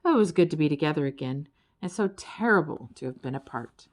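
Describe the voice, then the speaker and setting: Female children's book narrator, expressive, slightly low register, big melodic range. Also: fast talker. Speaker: audiobook reader.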